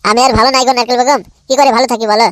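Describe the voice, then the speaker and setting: A man's voice: very high-pitched, fairly even intonation, very fast, very noisy. A man voicing a bashful, cheeky, lovestruck young man in an amateur comic cartoon, giggly and repetitive. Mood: eager.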